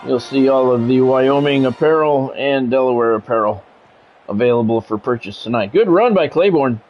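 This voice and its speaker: A man's voice: medium pitch, moderate pace, highs cut off. A man livestreaming as a sports commentator, switching between clipped announcer-style play calls and casual chat with viewers over music. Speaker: game streamer calling play-by-play.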